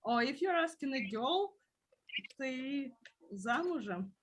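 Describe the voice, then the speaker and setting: A woman's voice: high-pitched, lively intonation, slow, slight background noise. A woman teaching a beginner language class, patiently explaining words one at a time.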